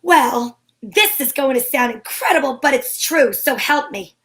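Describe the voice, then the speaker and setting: Female gumshoe actress, high voice, cheeky and wisecracking, slangy noir delivery for vintage-style radio detective drama. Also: lively intonation, measured pace, fairly bright tone. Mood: explaining, slangy. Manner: wry, slangy hardboiled delivery. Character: wisecracking female private eye, cheeky and flirtatious, narrating her case.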